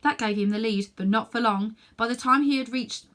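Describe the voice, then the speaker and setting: A woman narrating a children's story as a reader, with expressive, dramatic delivery. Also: a little high, fairly quick.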